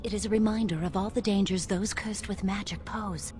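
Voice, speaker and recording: A woman playing an earnest, anxious young chantry woman in a fantasy video game, with a measured, solemn delivery. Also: mid-range voice, fairly even intonation, a little mellow, faint music behind, close to an everyday voice. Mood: solemn, secretive.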